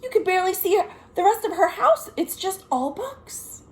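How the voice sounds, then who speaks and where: An American woman, a children's librarian, reading a picture book aloud in an expressive, sing-song way with chatty asides to young listeners. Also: very high-pitched, normal speed, reverberant. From United States. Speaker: children's librarian hosting a virtual storytime. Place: at home.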